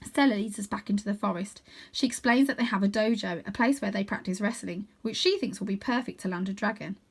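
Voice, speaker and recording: A woman narrating a children's storybook in an expressive read-aloud style. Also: medium pitch, quick pace, slightly rough. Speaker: storybook reader.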